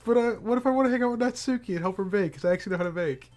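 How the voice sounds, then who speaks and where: A man streaming a game, joking and laughing loudly as he plays. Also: sits high, very fast, faint music behind. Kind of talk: let's play commentary.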